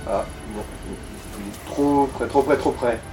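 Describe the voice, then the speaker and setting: Man, mid-pitched voice, casual gaming co-commentary, echoey room, faint music behind. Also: slow pace. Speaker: co-commentator advising the player.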